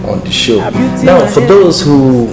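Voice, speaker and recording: A man's voice: medium pitch, sing-song, unhurried, creaky, echoey room, over loud music, rough recording. A man hosting a podcast interview, playful and teasing, laughing often. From Nigeria. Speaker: podcast host. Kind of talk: casual interview chat.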